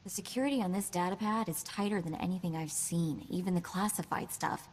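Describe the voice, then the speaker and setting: An actress playing a sassy, quick-witted young woman hacker in a video game, with a chatty, wry delivery. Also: lowish voice, slight background noise, close to an everyday voice. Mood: uneasy. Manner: wry, chatty, hesitant asides.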